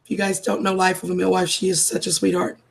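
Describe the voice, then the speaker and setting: A woman, losing her voice from a cold, chatting warmly with viewers on a live stream and calling them darling and sweetheart. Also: lowish voice, flat delivery, fast, gravelly. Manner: affectionate, calls everyone darling and sweetheart. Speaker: livestream host. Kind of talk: casual live chat greeting viewers.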